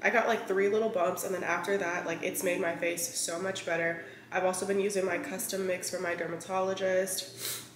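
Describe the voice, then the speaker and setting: Chatty woman vlogging in an echoey kitchen. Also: lowish voice. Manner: chatty, rambling, many fillers. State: scratchy throat, clearing it. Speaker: lifestyle vlogger. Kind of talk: casual chat to camera. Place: large bright home kitchen. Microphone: camera on a counter, mid-shot. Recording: echoey kitchen with faint room noise.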